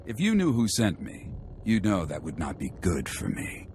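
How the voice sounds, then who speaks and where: A man's voice: low voice, wildly swooping pitch, normal speed, a little mellow, slight background noise. A man voicing a smug, chatty, deadly assassin in a video game, with a theatrical, taunting manner. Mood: amused, taunting.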